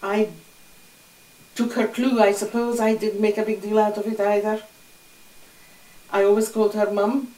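Older woman telling her life story, calm and matter-of-fact, echoey room, mic kinda far off. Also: mid-range voice, normal speed, pauses often. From Hungary. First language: Hungarian. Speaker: interviewee. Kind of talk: oral history recollection. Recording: roomy echo, distant mic.

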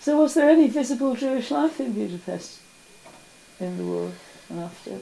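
A woman's voice: on the high side, animated, normal speed, vocal fry, dark tone, poor audio. A woman interviewer asking brief, attentive questions in an oral history interview.

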